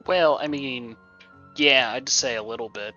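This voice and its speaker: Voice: mid-range voice, measured pace, bright, forceful tone, lo-fi sound. A game streamer giving offhand, dry and joking commentary over gameplay in a casual, flippant way. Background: game music.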